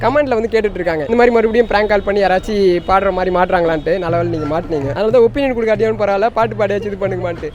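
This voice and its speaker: Male street prank host. Rapid-fire, excitable, high-pitched casual chat. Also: lo-fi sound.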